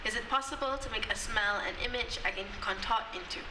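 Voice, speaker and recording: A woman's voice: on the high side, a little fast. A young woman from Singapore performs poems with a dry, wry edge. Her voice carries from a distance in an open courtyard with echo around it. Background noise stays under everything. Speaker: spoken word poet. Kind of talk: poetry performance. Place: outdoor courtyard. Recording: echoey, distant, noisy outdoor recording.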